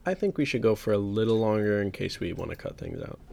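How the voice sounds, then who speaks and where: A young man co-hosting a podcast, trading dry, mocking comebacks in casual banter with a low voice. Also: expressive, fast, vocal fry, dark tone. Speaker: podcast co-host and editor. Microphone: home podcast mic.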